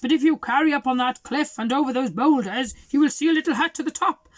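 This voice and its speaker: A woman's voice: high voice, quick pace, voice pushed up, lightly acted. Woman doing a climber with a put-on accent. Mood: apologetic. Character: helpful grown man, a mountain climber.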